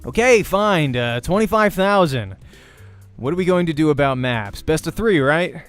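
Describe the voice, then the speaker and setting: A man's voice: a little high, expressive, normal speed, soft background music, lightly acted. A man voicing an uneasy but determined young gamer, the hesitant protagonist of a visual novel. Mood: resolved.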